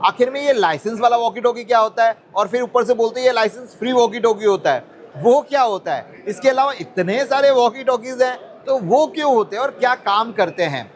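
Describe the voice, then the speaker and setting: Guy with a high voice hosting a product interview, chatty explainer, mixes in english phrases. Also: animated, moderate pace. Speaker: host interviewing a guest.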